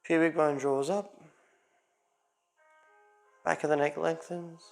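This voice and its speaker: A man with a high-pitched voice guides a yoga class. His delivery is calm and unhurried, in short instructive cues, with a creaky, gravelly edge to the tone. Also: faint music behind.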